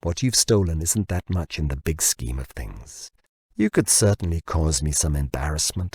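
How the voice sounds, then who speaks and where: A man voicing a weary, calculating male boss, deliberate, restrained and patronising, in audiobook dialogue. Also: low voice, vocal fry, a little mellow, husky, no echo, full character voice.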